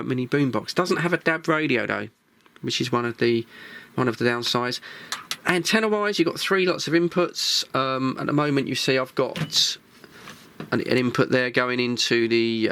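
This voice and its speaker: An English man hosting a hobby vlog, chatting casually and informally in a rambling way with hesitant pauses. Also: medium pitch, fairly bright tone, a little hiss or hum. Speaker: host of a radio hobby channel. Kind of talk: casual vlog chat, gear preview.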